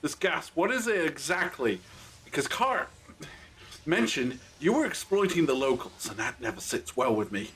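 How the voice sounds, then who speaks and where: An actor playing a quick-witted, sardonic time-traveling adventurer in an audio drama, questioning with emphatic indignation. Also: male voice, fairly high pitch, sing-song, a bit bright. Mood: indignant.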